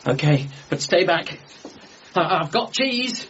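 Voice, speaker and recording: An actor playing an anxious, eccentric, earnest son in a radio comedy drama, with a British accent and hesitant starts. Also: male voice, fairly high pitch, lively intonation, takes it slowly, a bit bright, slight background noise. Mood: flustered, wary. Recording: slightly muffled, music behind.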